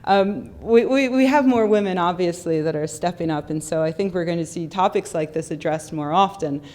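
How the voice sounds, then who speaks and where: A woman's voice: on the low side, moderate pace, darkish tone. Wry woman giving a comic talk on stage. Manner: sarcastic and wry, with frequent ums and repeated words. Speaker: public speaker. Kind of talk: humorous skeptical conference talk. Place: large lecture hall stage. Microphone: handheld or stand microphone.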